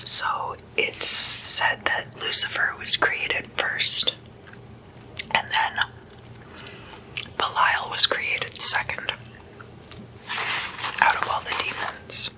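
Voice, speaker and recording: Slow, bright, breathy ASMR whisperer. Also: poor audio. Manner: slow, deliberate, with drawn-out pauses. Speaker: ASMR video host. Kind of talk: whispered ASMR, casual explanatory chat.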